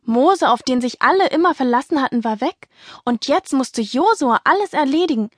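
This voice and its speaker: A woman playing a patient, sensible female companion in a children's audio play, explaining things in a gently firm way. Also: high voice, expressive, normal speed, dry recording, clean studio sound.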